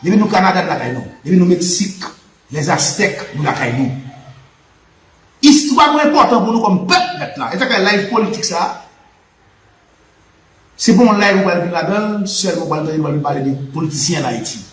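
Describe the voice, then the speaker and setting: A man's voice: sits high, expressive, brisk, long pauses, a bit bright, reverberant, slight background noise, rough recording. A Haitian man with a Haitian Creole accent, hosting a live political and history talk with a fervent, repetitive, preaching cadence. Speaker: channel host.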